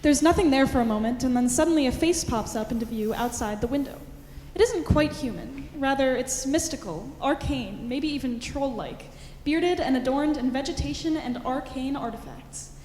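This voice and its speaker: A woman's voice: sits high, moderate pace, few pauses, darkish tone. A woman reading scripted stage directions as narrator at a staged reading. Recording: echoey hall, faint background noise.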